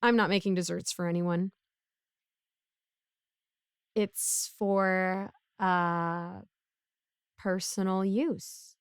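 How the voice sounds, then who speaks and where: A woman's voice: fairly low pitch, steady tone, very slow, long pauses, clean tone, no echo, clean studio sound. A woman playing a broke, bumbling, chatty supervillain neighbor in a casual audio roleplay, rambling awkwardly as she pleads and justifies herself. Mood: sheepish rambling.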